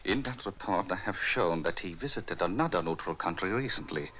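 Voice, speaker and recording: An actor playing a resentful German butler who secretly spies, reporting in a clipped, conspiratorial way in a 1940s radio drama. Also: male voice, low-pitched, lively intonation, a little fast. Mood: secretive. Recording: dull lo-fi old radio, faint noise.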